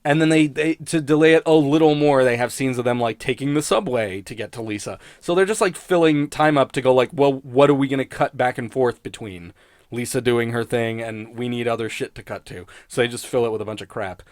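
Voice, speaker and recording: A man's voice: mid-range voice, fairly quick, no room sound, clean studio sound. A man rambling casually and sardonically in an unscripted review, swearing freely like a podcast critic.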